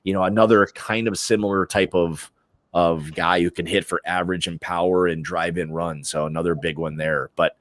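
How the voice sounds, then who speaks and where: Low-voiced American man in casual sports chat. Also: steady tone, normal speed, no echo. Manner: informal, opinionated, blokey slang. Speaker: host of a sports prediction livestream.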